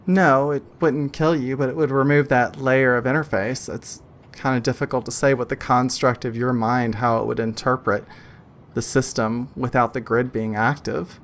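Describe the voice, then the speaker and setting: A man narrating and explaining as game master in a tabletop role-playing game, in a measured, slightly hesitant way. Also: mid-range voice, fairly even intonation.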